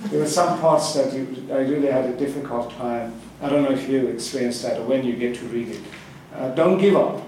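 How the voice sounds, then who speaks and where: A man's voice: medium pitch, darkish tone, slight background noise. Man speaking from far in an echoey hall. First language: Farsi. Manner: many pauses, restarts and fillers. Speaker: guest speaker at a book club gathering. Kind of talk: public talk.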